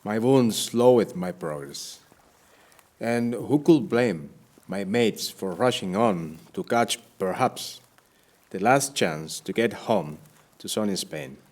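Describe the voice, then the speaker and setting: A man's voice: lowish voice, lively intonation, takes it slowly, a little mellow. A man playing a weary, plaintive shipwrecked Spanish sea captain in an audio play, speaking in formal, archaic phrases. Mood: weary, pleading. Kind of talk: audio play acting, first-person account.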